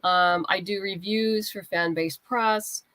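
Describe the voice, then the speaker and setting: A woman's voice: mid-range voice, steady tone, slow pace, fairly bright tone, no echo. A woman chatting casually as an interview guest, giving practical advice in a conversational way. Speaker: guest writer and comics creator.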